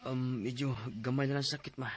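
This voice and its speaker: A man acting an ailing, reluctant eighteen-year-old son with a Cebuano accent in a radio drama, husky and hesitant as he complains. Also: mid-range voice, flat delivery, a little fast, a little hiss or hum, natural voice. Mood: pained, complaining.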